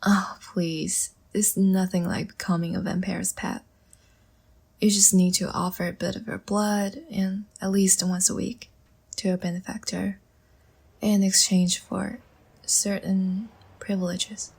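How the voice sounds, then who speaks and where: Slow, breathy, seductive vampire woman played by an actress. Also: medium pitch, flat delivery. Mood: measured, persuasive. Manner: soft, coaxing, slow and teasing, often breathy near-whisper. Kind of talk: intimate roleplay audio drama.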